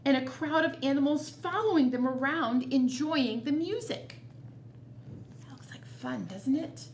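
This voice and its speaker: A woman's voice: sits high, animated, measured pace. A woman reading a picture book aloud to children as a storytime reader, expressively and with chatty asides. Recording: roomy echo, noticeable background noise.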